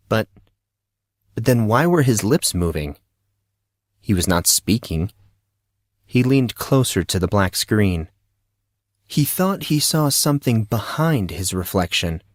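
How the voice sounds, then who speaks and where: A man's voice: deep voice, dry recording, clean studio sound. A man reading scripted fiction narration as the storyteller of an audio drama.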